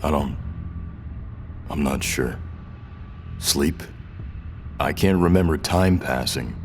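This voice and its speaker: An actor playing a captive rebel captain in a science fiction audio drama, a man who is guarded, halting and uncertain beneath his defiance. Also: a bass voice, background noise. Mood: disoriented. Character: defiant but confused male rebel captain, held prisoner.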